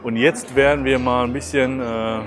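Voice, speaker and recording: A man's voice: mid-range voice, steady tone, normal speed, over music, a crowd in the background. A man in his fifties chatting casually to camera outdoors in short, jokey, colloquial remarks, recorded at arm's length. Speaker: travel vlogger. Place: outdoors in gardens and town streets. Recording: outdoor ambient noise.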